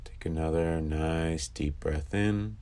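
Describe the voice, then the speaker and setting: Very deep-voiced man guiding meditation. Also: smooth. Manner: calm, instructive, with long pauses between phrases. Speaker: meditation guide. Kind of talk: guided sleep meditation.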